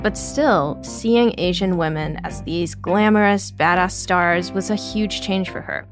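A woman's voice: lowish voice, unhurried, faint music behind. An American woman co-hosting a podcast, responding thoughtfully and engaging closely with the conversation. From United States. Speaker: podcast co-host.

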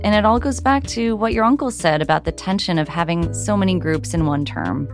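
A woman's voice: lowish voice, sing-song, a little fast. A woman hosts a conversational podcast, asking friendly, curious questions and summing up the discussion. Faint music plays behind her voice. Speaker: podcast host.

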